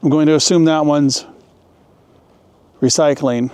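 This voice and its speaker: A man's voice: on the high side, steady tone, measured pace, a little mellow, clean tone, no room sound. A man chatting casually as a travel vlogger in a joking, playful way, filming outdoors and in shops on a handheld camera. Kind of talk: casual vlog narration. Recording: outdoor street noise.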